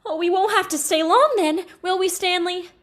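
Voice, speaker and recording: A woman's voice: extremely high pitch, moderate pace, a bit bright. A woman playing an uneasy gun moll in a radio play, plaintive and questioning. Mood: pleading, uneasy. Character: nervous young gun moll Marlene, uneasy female accomplice. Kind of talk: vintage radio drama acting.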